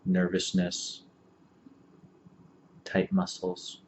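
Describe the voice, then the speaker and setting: A man leading a guided meditation as an instructor, speaking calmly and gently with long, unhurried pauses. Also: deep voice. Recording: dull lo-fi audio, faint background noise.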